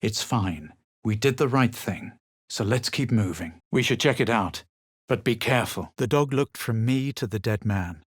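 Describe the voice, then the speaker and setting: Fantasy game hero, low voice, dry close sound, haughty, measured narration. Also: male voice, lively intonation, husky, no echo. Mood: cool, dry. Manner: measured, superior, deliberate. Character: haughty, self-assured male fantasy adventurer, narrating his journey. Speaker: player character voice actor in a fantasy video game. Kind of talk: dramatic scripted game dialogue and narration.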